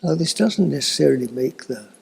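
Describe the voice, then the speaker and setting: An elderly man giving a slow, reflective spiritual talk in a husky, breathy voice that often fades toward a whisper, with long thoughtful pauses. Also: fairly high pitch, lively intonation, slight background noise. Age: older, elderly. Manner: contemplative, halting with long pauses. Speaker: spiritual teacher.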